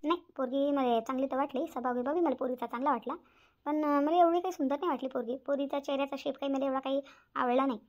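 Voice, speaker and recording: A woman's voice: high voice, fast talker, darkish tone, lightly acted. A woman voicing a fussy, grumbling village mother. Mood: doubtful. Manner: complaining, nagging. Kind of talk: cartoon voice acting. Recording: dull, lo-fi sound.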